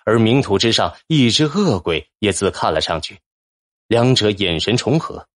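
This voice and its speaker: A man's voice: on the low side, sing-song, moderate pace, a bit bright. A man reading a fantasy novel as a dramatic audiobook narrator. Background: music.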